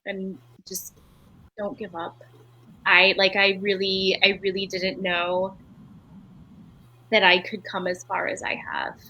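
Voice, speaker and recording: A woman from Belgium, a native French speaker, talks in an interview with a bright, clear and forward voice. She answers earnestly and candidly, reflecting as she speaks about her own experience. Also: medium pitch, monotone, slow pace, long pauses, highs cut off, slight background noise. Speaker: writer and retreat leader.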